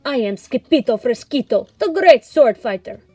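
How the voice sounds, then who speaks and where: Lady doing a cocky little kitten boy, dramatic sword fighter type, high voice, storybook. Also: animated, a little slow, dry recording, faint music behind. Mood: proud. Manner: defiant, dramatic. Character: feisty boastful young male kitten playing sword fighter.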